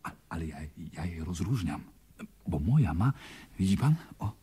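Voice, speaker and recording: Flustered young heir, very breathy husky voice, lowish pitch, hesitant stammer, theatrical radio drama. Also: male voice, big pitch swings, normal speed, warm tone, exaggerated voice. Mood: flustered, confused. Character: nervous, bewildered young male heir. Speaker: actor in a radio play. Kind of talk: 1980s Polish radio drama acting.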